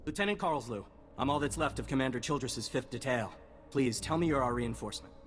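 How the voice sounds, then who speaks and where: A man playing an earnest, hard-pressed soldier in a video game, speaking in a brisk military way. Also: mid-range voice, lively intonation, close to an everyday voice. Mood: urgent. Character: earnest, hard-pressed male soldier, respectful field lieutenant. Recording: long reverb, music underneath.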